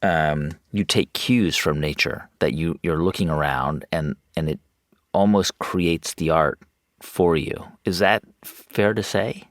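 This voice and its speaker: Man, extremely deep pitch, conversational interviewer, tentative phrasing, dry studio-like sound. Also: slow pace, dry recording. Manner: tentative, circling restarts as he builds a question. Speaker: podcast interviewer.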